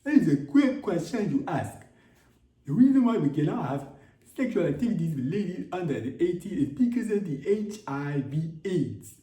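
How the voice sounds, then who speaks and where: A man's voice: high voice, sing-song, moderate pace, mellow, pitched above the performer's own voice. A man putting on a pompous king with Nigerian accent. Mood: lecturing. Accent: put-on Nigerian. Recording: roomy, distant from mic.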